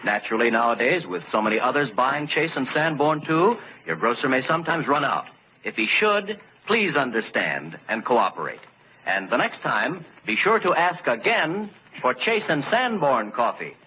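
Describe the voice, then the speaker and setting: Bright, swooping American radio pitchman. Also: very animated, normal speed. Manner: emphatic, swooping salesman delivery. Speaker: radio commercial announcer. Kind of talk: 1940s wartime radio advertising pitch. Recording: dull, faintly noisy 1940s radio recording.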